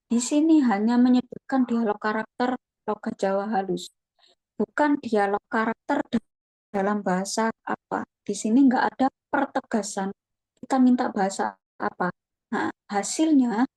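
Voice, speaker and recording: Chatty woman presenter, mellow dry voice. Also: on the high side, dry recording, low-quality recording. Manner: relaxed, chatty, thinks aloud with fillers. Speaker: webinar presenter. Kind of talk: casual online tutorial.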